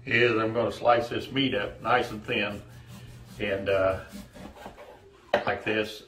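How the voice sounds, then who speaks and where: A man in his sixties giving a casual, halting cooking demonstration as host, recorded from a distance in a home kitchen. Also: fairly low pitch, fairly bright tone. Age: 60s. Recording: echoey kitchen, distant mic, faint noise.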